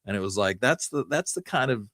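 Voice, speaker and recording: A man's voice: medium pitch, expressive, fairly quick, fairly bright tone, dry recording, studio quality. A man co-hosting a podcast, retelling history in a casual, conversational storytelling style. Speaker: podcast co-host.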